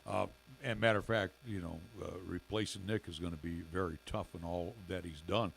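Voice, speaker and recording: A middle-aged man co-hosting a local radio show in casual chat, with a raspy, gravelly voice and halting speech full of fillers. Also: low voice, steady tone, slight background noise. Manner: halting, many fillers and restarts. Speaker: radio station owner and co-host.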